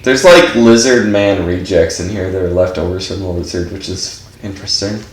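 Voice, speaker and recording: A man's voice: low voice, normal speed, reverberant, faint music behind. A man giving casual gameplay commentary while stuffed up from allergies, rambling and filling his speech with "like". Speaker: game streamer.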